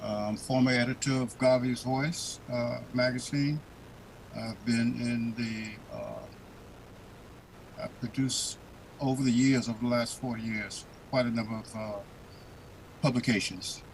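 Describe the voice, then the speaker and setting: A man who is a former magazine editor, introducing himself haltingly with many pauses. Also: medium pitch, steady tone, a little hiss or hum, rough recording. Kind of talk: roundtable self-introduction.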